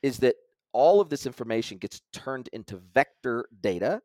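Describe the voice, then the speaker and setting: A man's voice: mid-range voice, sing-song, normal speed, mellow, no room sound. Man explaining at length, dry sound. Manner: explains at length, with frequent restarts. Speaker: guest expert. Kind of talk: conversational interview.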